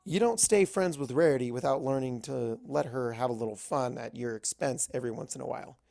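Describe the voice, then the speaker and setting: A man reading fan fiction aloud as expressive first-person narration. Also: medium pitch, fairly quick, vocal fry.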